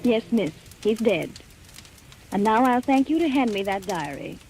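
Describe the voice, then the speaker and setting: A firm, dutiful nurse speaks slowly in a 1940s radio suspense play. Her voice sits on the high side. The recording is old, with clear music behind it. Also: animated, a little mellow. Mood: stern, humouring. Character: firm, dutiful nurse minding a mad patient.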